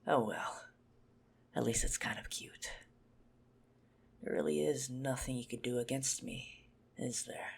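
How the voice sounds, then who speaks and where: A man playing a teasing, menacing, possessive vampire in an ASMR roleplay, mocking and condescending, with a husky, breathy, gravelly voice that often drops to a whisper. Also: mid-range voice, steady tone, unhurried, long pauses.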